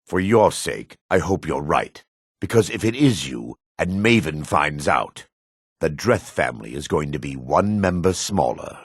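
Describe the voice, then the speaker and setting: An actor playing a shifty, greedy dark elf merchant and foreman in a fantasy video game, brusque and sly, with a raspy voice. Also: a bass voice, expressive, unhurried, no room sound, studio quality, exaggerated voice. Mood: menacing.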